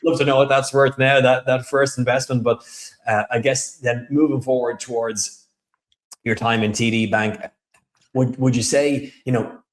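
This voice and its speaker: A man's voice: medium pitch, steady tone, normal speed. A man hosting a podcast interview, effusive and full of praise, often stammering and repeating words. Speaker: podcast host.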